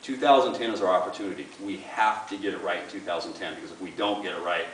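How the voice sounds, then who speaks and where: A man's voice: low-pitched, fast talker. A man running for office, giving an earnest campaign speech to a room of supporters and often repeating words as he starts a sentence. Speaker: political candidate. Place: meeting room with an audience. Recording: echoey room, far from the mic, faint hiss.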